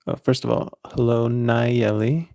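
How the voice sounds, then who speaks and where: A man's voice: mid-range voice, level tone, a little slow, vocal fry, mellow, no echo, studio quality. A man live-streaming himself drawing. He mutters self-critical asides as he works, drops at times to a whisper, and answers viewers' chat. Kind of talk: casual running commentary while drawing.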